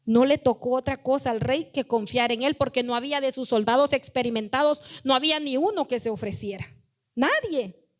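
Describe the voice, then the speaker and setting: Woman, pastor, sermon, high voice, emphatic, conversational, acts out quotes. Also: a little fast. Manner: emphatic, conversational, addresses the congregation directly.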